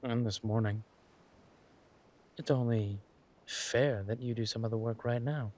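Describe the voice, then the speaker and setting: A man playing a flirtatious, smug villain king in a roleplay audio drama, talking to his spouse in a husky, teasing, languid way. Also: medium pitch, fairly even intonation, darkish tone, close to an everyday voice. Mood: grudging, flirtatious. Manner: teasing, languid, amused, drawn-out phrasing with murmured asides.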